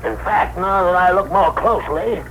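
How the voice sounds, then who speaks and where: Stubborn elderly gentleman, mild and unhurried, highish pitch, vintage radio drama with faint noise. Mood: mild, pointed. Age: older. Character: stubborn old man, the Old Year, slow and mild. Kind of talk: 1960s radio drama acting. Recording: noisy vintage radio broadcast recording.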